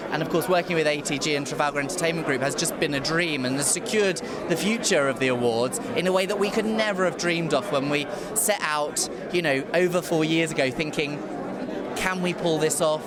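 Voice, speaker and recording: A man being interviewed as an association chairman, enthusiastic and effusive, piling up emphatic lists. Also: a little high. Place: theatre venue during red carpet arrivals. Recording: loud crowd chatter in public venue.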